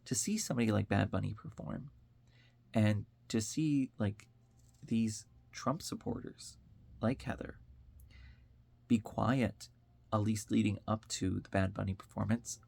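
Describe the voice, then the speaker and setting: The American man you would find on a commentary vlog, earnestly talking through his opinions in a raspy voice full of fry. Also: fairly low pitch, unhurried, a little mellow. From upstate New York, United States. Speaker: online commentator. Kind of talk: informal opinion monologue.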